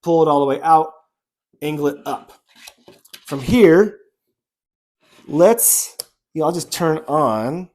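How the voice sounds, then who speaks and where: A man's voice: fairly high pitch, slow, pauses often, a little mellow. A man giving a casual, loosely improvised product demonstration, repeating himself as he goes in an informal way. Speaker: product reviewer.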